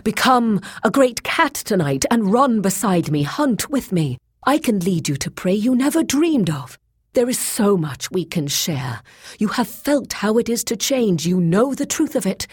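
A woman's voice: fairly low pitch, lively intonation, normal speed, few pauses, husky, pitched above the performer's own voice. A woman voicing a proud, passionate male shapeshifter in a fantasy story, pleading with urgent intensity. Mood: eager pleading. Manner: urgent, imploring, intense delivery.

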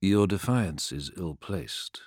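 A man voicing an ageless emperor who speaks with quiet, detached patience. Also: sits low, expressive, slow, dry recording, clean studio sound, exaggerated voice. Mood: cold. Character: ageless male emperor, calm, cold and commanding.

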